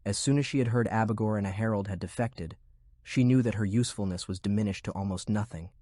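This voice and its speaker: A man's voice: lowish voice, quick pace, mellow, dry recording, studio quality. A man reading scripted narration of military fantasy fiction as an audiobook narrator.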